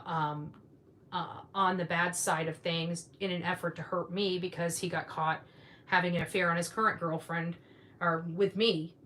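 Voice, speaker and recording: Female online commentary host, bright forceful voice, blunt and combative in casual monologue. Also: fairly low pitch, a little hiss or hum. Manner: blunt, combative, repeats phrases for emphasis. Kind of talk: casual opinionated monologue to viewers.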